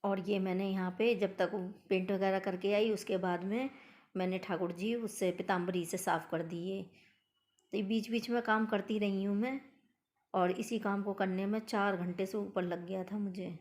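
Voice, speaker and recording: A middle-aged woman with a hoarse voice, chatting homely to her viewers as she narrates her daily routine. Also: medium pitch, steady tone, moderate pace, darkish tone. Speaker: vlogger.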